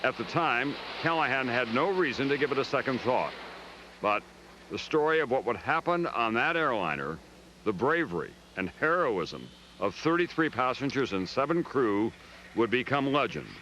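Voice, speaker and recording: A man's voice: mid-range voice, big pitch swings, moderate pace, bright, forceful tone, slight background noise, poor audio. An American man narrating a scripted news documentary as correspondent, with a grave, dramatic storytelling delivery.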